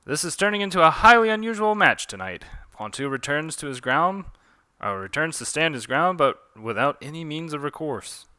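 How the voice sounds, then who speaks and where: Fantasy duel announcer, done by a man, showy and excited, pushed high, bright and forceful. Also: moderate pace, clean tone, no echo. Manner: showy, dramatic play-by-play.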